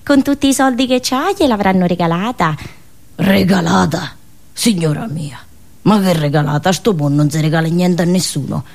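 A woman's voice: lowish voice, normal speed, gravelly, darkish tone. A woman voicing a gossipy, cynical village woman with colloquial, nosy, muttered asides. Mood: cynical gossip.

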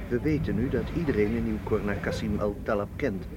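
A man's voice: fairly low pitch, brisk, background noise, lightly acted. A man acting in a Dutch radio play as a seasoned, cautious and protective spy who explains things firmly. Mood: explaining. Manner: explanatory, firm.

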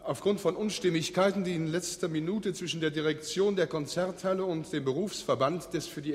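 An actor playing a formal concert hall official making a stiff, bureaucratic public announcement in a radio play. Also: male voice, fairly high pitch, lightly acted. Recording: long echoing hall reverb.

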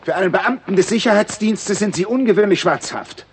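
An actor playing an irritable, commanding male state secretary in a 1960s radio play, husky and sarcastic. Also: high-pitched, lively intonation, fairly quick, slight background noise. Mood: irritated, scornful.

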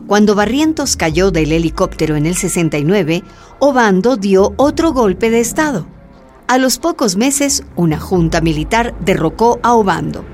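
A woman's voice: medium pitch, a little mellow. A woman reading scripted narration for a historical radio drama.

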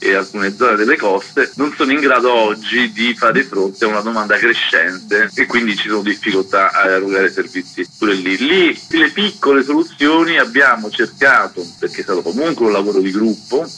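Low, bright-voiced man explaining thoughtfully. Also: normal speed, few pauses, slight background noise, rough recording. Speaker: book author being interviewed. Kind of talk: explanatory radio interview.

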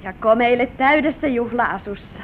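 Actress as a high-voiced sweet bride, old radio. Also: female voice, natural voice. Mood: curious, fond. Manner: gentle, exclaiming, theatrical. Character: young bride, sweet, admiring, easily delighted. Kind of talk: old Finnish radio play acting. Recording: narrow-band, hissy vintage radio recording with rumble.

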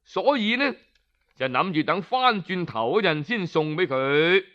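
A man telling historical tales in Cantonese in an expressive, colloquial traditional storytelling style. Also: high voice, a little slow. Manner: expressive, colloquial, with rhetorical interjections. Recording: dull lo-fi audio.

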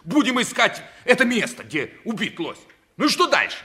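A man's voice: sits high, wildly swooping pitch, moderate pace, bright, forceful tone, heavily acted. A man playing an indignant, sarcastic and argumentative hunter in a 1960s Russian radio play.

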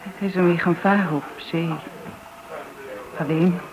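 An actress playing a composed, apologetic widow, speaking with restraint and some hesitation in a naturalistic style. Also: female voice, on the low side, steady tone, unhurried, lightly acted. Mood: sorrowful, restrained. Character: composed, apologetic young-sounding widow greeting old friend. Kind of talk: naturalistic radio play acting. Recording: dull radio recording, background crowd bustle.

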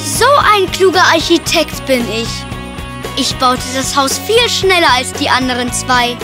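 A woman's voice: high voice, animated, a little slow, over music. A woman voicing a cocky, carefree young male pig with a boastful, breezy manner in a children's fairy-tale cartoon.

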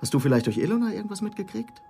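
A man's voice: sits high, very animated, racing, creaky, mellow, soft background music, full character voice. A man acting in a radio drama as a casual friend passing on rumours in an offhand, conversational way. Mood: confiding.

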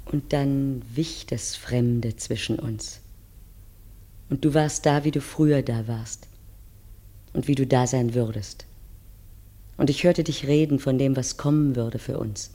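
A woman playing a wistful wife who narrates her memories in a measured, poetic radio play style. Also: rumbling low, dark tone. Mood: solemn, yearning. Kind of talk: dramatic first-person radio play narration.

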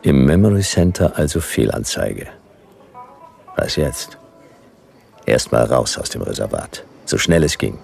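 An actor playing a world-weary detective, narrating a sci-fi radio play in dry, clipped noir fragments with a gravelly voice. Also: male voice, very deep voice, big pitch swings, a little slow, pauses often, a little mellow, exaggerated voice. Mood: brooding, laconic. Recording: music behind the voice.

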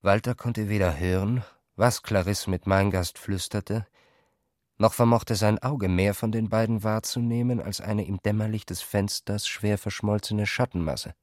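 A man with a lowish voice reads literary narration for a radio play. He works from a script as a storyteller, recorded very dry, with no audible room. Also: steady tone, a little slow, darkish tone, dry recording.

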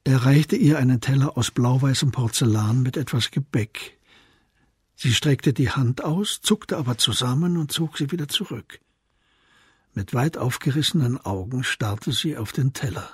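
An actor as the narrator of a radio crime drama, reading literary description in a measured, composed manner. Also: male voice, medium pitch, breathy edge, no echo, clean studio sound. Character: composed literary storyteller of a detective tale.

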